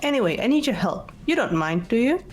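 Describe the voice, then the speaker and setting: A woman's voice: a little high, sing-song, brisk, soft background music. A woman voicing a composed, blunt teenage girl detective in an amateur visual novel dub, speaking in a controlled, matter-of-fact way. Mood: businesslike.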